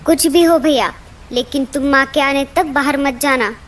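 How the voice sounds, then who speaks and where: A woman's voice: sits high, moderate pace, lightly acted. A woman voicing a cautious, pleading small baby bird in a cartoon. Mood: anxious. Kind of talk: Hindi cartoon character voice.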